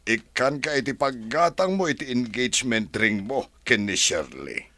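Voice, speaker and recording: A man's voice: medium pitch, lively intonation, moderate pace, pushed and bright, slight background noise. An actor with an Ilocano Filipino accent playing a genial older pensioner father in a radio drama. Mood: indulgent.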